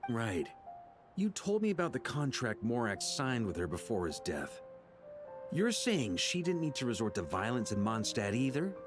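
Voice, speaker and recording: A jovial, frank knight grandmaster from a video game, acted by a man. His mid-pitched voice is breezy and extremely animated, theatrical yet thoughtful, over a faint bed of music. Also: normal speed, close to an everyday voice. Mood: thoughtful, wry. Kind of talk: scripted video game voice acting.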